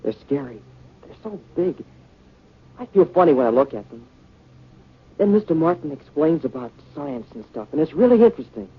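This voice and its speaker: Polite eager kid delivering groceries, boyish highish voice, swingy delivery, old muffled radio show. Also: male voice, big pitch swings, moderate pace, pauses often, husky, heavily acted. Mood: wistful. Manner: earnest, boyish. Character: eager, polite young grocery delivery boy, fascinated by bugs. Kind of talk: 1950s radio drama acting. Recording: dull, band-limited 1950 radio recording.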